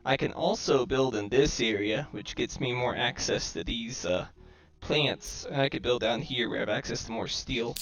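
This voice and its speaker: A man's voice: medium pitch, moderate pace, hardly any pauses, fairly bright tone. A man giving casual game commentary, deliberating aloud to himself through a microphone that distorts his voice to sound robotic. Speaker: gamer commentating own match. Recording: robotic, glitchy home microphone.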